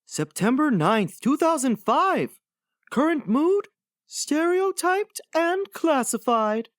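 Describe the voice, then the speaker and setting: A woman's voice: sits high, big pitch swings, slow pace, no room sound, studio quality, heavily acted. A woman voicing a gloomy, pedantic oddball blogger in an earnest, fussy, theatrical dramatic reading.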